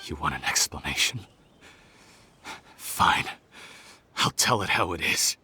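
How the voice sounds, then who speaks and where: A bitter criminal in a video game, defiant and sarcastic. His voice is bright and sharp, and it swings widely in pitch as he mocks the people around him. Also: lively intonation, takes it slowly, a little hiss or hum, exaggerated voice.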